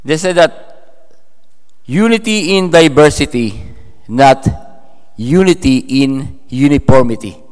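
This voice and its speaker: A man from the Philippines with a Filipino accent, preaching a sermon in a halting way, full of fillers and restarts. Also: fairly high pitch, lively intonation, clear, resonant tone. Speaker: pastor. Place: church hall. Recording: long hall reverb.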